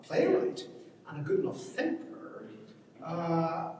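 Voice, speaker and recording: An Irish man gives an informal literary talk, hesitating and doubling back on his words as he thinks. He is heard from far off in a hugely reverberant hall, with clear noise in the recording. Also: fairly high pitch, huge pitch swings, darkish tone. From Ireland. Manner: halting and hesitant, often repeating words as he searches for phrasing. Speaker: academic speaker commenting on a play. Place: large echoing hall at a public event. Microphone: distant microphone. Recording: very echoey hall from far off, noisy.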